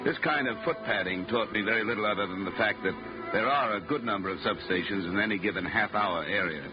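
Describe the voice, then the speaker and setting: Low-voiced American radio detective, played by a man, music behind. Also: fast talker, close to an everyday voice. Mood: wry narration. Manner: clipped, dry, hardboiled. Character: wry, dogged male insurance investigator. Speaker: lead actor and narrator of a radio detective show. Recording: muffled vintage radio recording, music.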